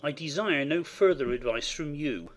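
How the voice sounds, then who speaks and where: A woman voicing a stern, anguished gentleman master of the house in a formal, reproachful manner, for a dramatized classic novel. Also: low voice, animated, moderate pace, voice pushed down. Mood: cold reproach. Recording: music behind the voice.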